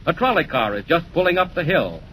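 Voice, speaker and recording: A man's voice: fairly high pitch, very animated, fairly quick, fairly bright tone, rough recording. A man announcing and narrating a 1940s radio drama in a grand, dramatic delivery. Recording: hissy 1940s radio recording.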